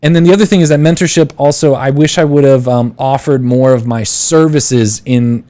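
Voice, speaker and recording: A man's voice: mid-range voice, fairly even intonation, normal speed, mellow. A man in his thirties hosting a live call-in show, giving casual advice in a rambling way with many fillers and restarts. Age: 30s. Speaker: podcast host.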